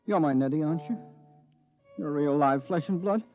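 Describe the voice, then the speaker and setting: An American actor playing an excitable, bossy but affectionate married man in an emphatic 1950s radio drama style. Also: fairly high pitch, normal speed, soft background music, close to an everyday voice. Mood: dawning horror. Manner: emphatic, theatrical. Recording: muffled vintage 1950s radio recording.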